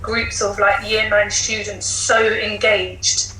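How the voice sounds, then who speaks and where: A woman's voice: mid-range voice, level tone, takes it slowly, sharp tone. A woman teacher explaining things conversationally as a guest on a podcast. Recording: echoey room, faint background noise.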